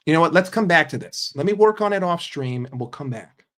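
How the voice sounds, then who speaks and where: A young male streamer with a fairly high-pitched voice talks loosely and joking with his chat. He swears freely and keeps it casual. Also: lively intonation, a little fast, a bit bright. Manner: profane, joking, reacting to chat.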